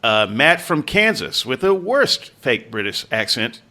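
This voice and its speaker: A man's voice: mid-range voice, very animated, measured pace, sharp tone. A man hosting a podcast panel, introducing guests with loose jokes and many fillers. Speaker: podcast host and moderator. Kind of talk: casual panel chat.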